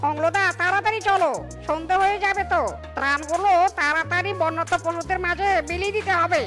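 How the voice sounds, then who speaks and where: A woman's voice: very high voice, steady tone, a little fast, over music. A woman voicing a helpful animal villager in a cartoon. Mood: urging. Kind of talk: cartoon voice acting.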